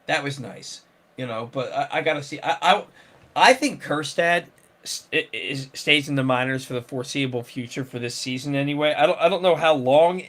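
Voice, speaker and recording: A man's voice: medium pitch, moderate pace, fairly bright tone. A man hosting a casual sports talk show, rambling with many restarts and fillers. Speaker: sports podcast host.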